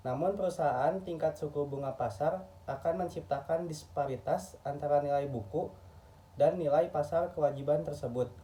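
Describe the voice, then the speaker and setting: A man's voice: a little high, moderate pace, mellow. Muffled, distant man reading a formal lecture. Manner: formal, textbook reading with occasional stumbles. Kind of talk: scripted lecture narration, read aloud.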